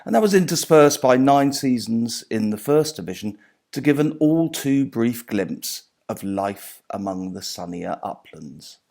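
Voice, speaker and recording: A middle-aged or older man reading aloud from a book as its author, measured and phrase by phrase. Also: mid-range voice, big pitch swings, creaky, mellow. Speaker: author and sports journalist reading own book.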